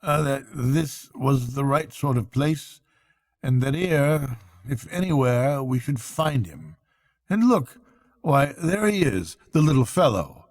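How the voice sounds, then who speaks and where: A man voicing a kindly, thoughtful water rat from a storybook, murmuring in a slow, musing way. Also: mid-range voice, animated, no echo, studio quality, voice pushed up. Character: thoughtful, dreamy water rat, kindly male animal friend.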